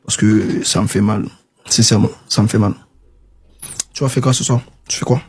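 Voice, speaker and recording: A man's voice: on the low side, fairly even intonation, normal speed, darkish tone, breathy edge. A man in an intimate casual conversation, speaking in an affectionate, coaxing way.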